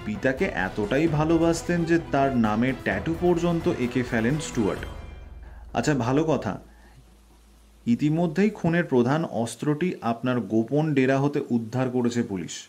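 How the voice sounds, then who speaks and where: Male actor as self-assured sleuth: formal, deliberate, theatrical reveal delivery, prominent music bed. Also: medium pitch, close to an everyday voice. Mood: measured, explanatory. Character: shrewd, self-assured male Bengali detective unmasking a culprit. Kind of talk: audio drama acting. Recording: loud background music under voice.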